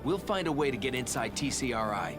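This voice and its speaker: An actor playing a serious, determined teenage mutant turtle leader in a video game, speaking in a measured, commanding manner. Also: male voice, medium pitch, expressive, over music, lightly acted.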